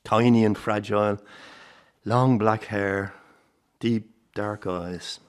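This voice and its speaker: Old Irish grandfather, acted by a man, lowish male voice, nostalgic, fond, grieving, circus family, radio drama. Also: fairly even intonation, slow, clear, resonant tone. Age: older.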